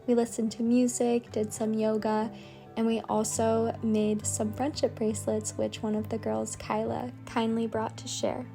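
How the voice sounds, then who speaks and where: A woman in her twenties narrating her trip in a soft, even, heartfelt voice-over. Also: fairly high pitch, a little slow, vocal fry. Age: 20s. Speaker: vlogger and trip host. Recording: soft music behind.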